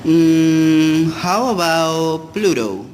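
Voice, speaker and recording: Reverberant, slow, high-voiced theatrical husband played by a man. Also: lively intonation, a little mellow. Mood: affectionate. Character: moody husband turned cruel, menacing drunkard. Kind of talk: radio play acting. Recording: noisy, long hall-like reverb.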